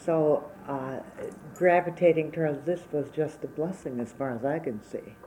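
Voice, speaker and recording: Older woman reminiscing, mellow voice. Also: low-pitched, slight background noise, rough recording. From Wisconsin. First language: Czech. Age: 70s. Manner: reminiscing, unhurried, plainspoken. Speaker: interviewee. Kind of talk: oral history interview.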